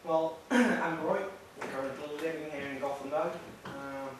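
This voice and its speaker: A man, a software engineer, introducing himself plainly with hesitations. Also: fairly high pitch, measured pace. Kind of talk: self-introduction. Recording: echoey room from far off, noisy.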